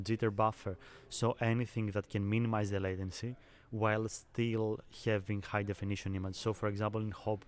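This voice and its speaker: A young man from Greece, a software engineer, calmly explaining technical work in an interview. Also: on the low side. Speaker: software engineer, conference speaker. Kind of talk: interview answers.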